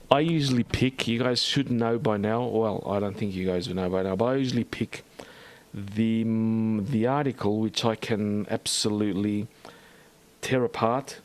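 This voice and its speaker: Rambling, lowish-voiced man with an Australian accent. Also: a little slow. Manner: rambling and hesitant, full of ums, restarts and asides. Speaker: archaeology channel host. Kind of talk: casual vlog commentary reading out a study.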